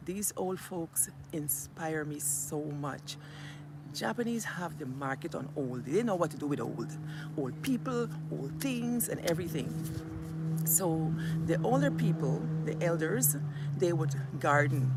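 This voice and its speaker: A woman's voice: deep voice, gravelly, noisy background. A middle-aged woman with a Guyanese accent chats casually and reflectively to viewers as a vlog host, telling stories in a conversational way. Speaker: vlogger and host. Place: outdoors near home in a village.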